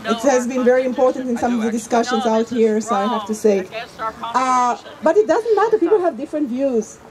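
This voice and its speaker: A woman's voice: fairly high pitch, fairly quick, crowd noise, rough recording. A woman from Israel, a Hebrew speaker, speaking earnestly and emphatically as an activist in an outdoor street debate. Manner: earnest, emphatic, repeats points for weight. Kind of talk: impassioned street debate speech.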